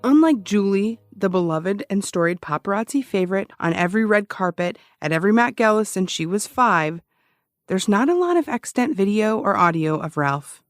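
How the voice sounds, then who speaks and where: A woman's voice: medium pitch, animated, moderate pace, dry recording, clean studio sound, natural voice. Intent actress as ex-cop woman podcaster, music underneath. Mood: wry, intent. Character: obsessive, defensive ex-cop woman hosting a true-crime podcast. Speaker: true-crime podcast host, former police officer. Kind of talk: conversational scripted narration in an audio drama. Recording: music behind the voice.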